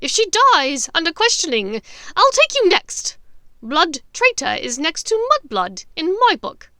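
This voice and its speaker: A high, forceful villainess for an audiobook, acted out by a woman narrator. She is a fanatical dark witch who snaps out imperious orders. Her pitch leaps about, menacing and unhinged. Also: huge pitch swings, measured pace, no echo, clean studio sound, exaggerated voice. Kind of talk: audiobook character acting.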